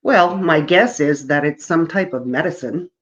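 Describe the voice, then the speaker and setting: Casting: female reader as reserved, candid storybook woman, quietly defensive, low-pitched, slightly lo-fi. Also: moderate pace, natural voice. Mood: guarded, candid.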